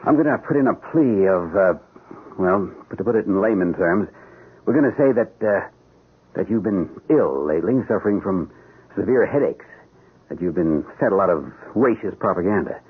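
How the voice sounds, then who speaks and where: A pragmatic defense lawyer, worldly and persuasive as he pushes for compromise. The voice is very rough and on the low side, lively in contour, through a narrow, muffled radio drama recording. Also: normal speed, heavily acted.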